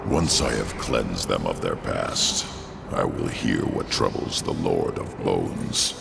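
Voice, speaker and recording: An actor playing a stern undead judge of souls in a video game, with a gravelly voice and a solemn, weighty, priestly delivery. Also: male voice, a bass voice, slow pace, heavy background noise, heavily acted. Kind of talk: video game cutscene voice acting.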